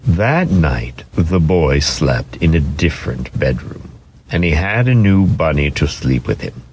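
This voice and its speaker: A man narrating a children's storybook as an expressive, theatrical dramatic reading. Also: rumbling low, unhurried, a little hiss or hum.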